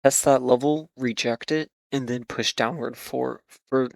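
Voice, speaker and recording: A man talking casually through stock charts, hoarse and losing his voice, with many fillers and repeated hedges. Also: mid-range voice, steady tone, measured pace, no echo. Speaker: market analysis host. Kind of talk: casual trading chart commentary.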